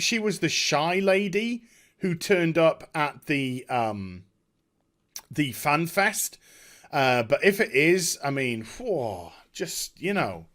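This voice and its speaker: A man with a fairly high voice gives a casual, spoken recap for a gaming video. He is enthusiastic and emphatic, rambling along in halting bursts as he searches for the next phrase. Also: fairly bright tone.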